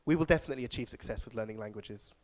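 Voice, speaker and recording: Man giving a conference talk. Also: medium pitch. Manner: reflective, measured closing remarks. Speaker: conference speaker. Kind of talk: conference talk with audience questions. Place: lecture hall.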